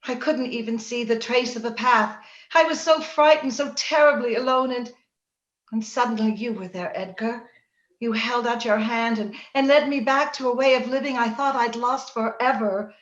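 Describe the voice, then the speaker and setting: A woman playing a passionate, troubled young gentlewoman in a radio drama, with an emotional, dramatic delivery. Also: a little high, natural voice. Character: Cathy, passionate, troubled young gentlewoman, now Edgar's wife. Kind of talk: old-time radio drama acting. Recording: roomy, dull video-call audio.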